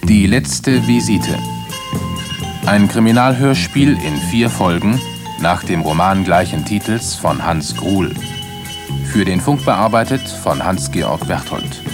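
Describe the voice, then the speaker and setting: A man formally announcing the title and credits of a radio drama in a measured, scripted delivery. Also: deep voice, expressive. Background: music behind the voice.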